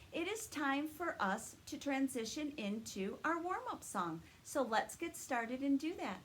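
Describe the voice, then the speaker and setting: A woman hosting a story time for small children, talking to young viewers in an animated, sing-song way. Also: high voice, a little hiss or hum. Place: indoors in a library.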